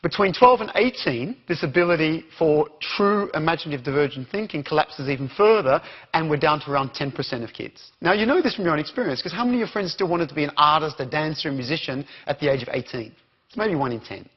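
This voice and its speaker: Animated Australian man giving a talk. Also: a little high, fast talker, slightly rough, dull audio. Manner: animated, questions the audience. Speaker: conference speaker. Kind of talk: interactive keynote talk.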